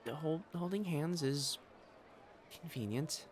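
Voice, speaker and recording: An actor playing a proud, secretly smitten young noble matchmaker in a romantic audio drama, with haughty formal diction that turns to stammering when flustered. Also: male voice, a little high, slow pace, noisy background. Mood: wistful, formal. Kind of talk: acted romantic audio drama monologue to the listener.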